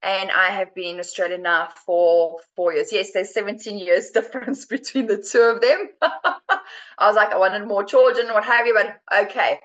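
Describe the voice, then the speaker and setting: A woman in her forties with a South African accent, a podcast guest telling her personal story earnestly, often pausing to search for words. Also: mid-range voice, steady tone, fairly bright tone, dull audio.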